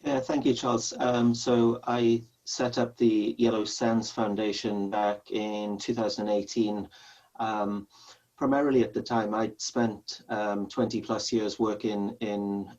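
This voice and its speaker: A man's voice: fairly low pitch, level tone, measured pace, a little mellow, far from the mic. Welsh man, forties, hesitant, dull lo-fi call audio. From Wales. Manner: hesitant, many ums, earnest. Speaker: panelist, charity founder. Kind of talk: webinar panel talk.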